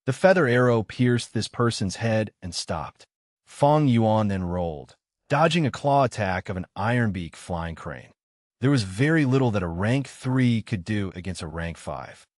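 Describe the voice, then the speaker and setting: Low-voiced man narrating dramatically. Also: no echo, studio quality. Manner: steady, dramatic reading. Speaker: audiobook narrator. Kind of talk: scripted narration of a fantasy novel.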